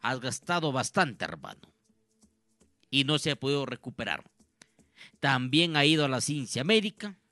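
A man's voice: mid-range voice, lively intonation, moderate pace, pauses often, bright, forceful tone, no echo. A man addressing radio listeners live as a host and healer, in an earnest, repetitive, preacher-like way.

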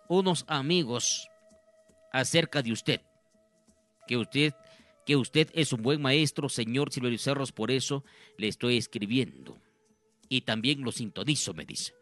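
A man's voice: mid-range voice, measured pace, pauses often, fairly bright tone, no echo, faint music behind. A man addressing radio listeners live as a host and healer, in an earnest, repetitive, preacher-like way.

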